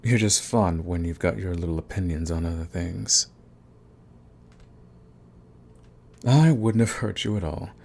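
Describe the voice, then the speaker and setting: A man's voice: sits low, normal speed, gravelly, a little mellow. A man playing a smug, flirtatious villain who is fond of the enemy, in an intimate ASMR romance roleplay with taunting, drawling menace. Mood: casual, fond. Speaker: audio roleplay voice actor.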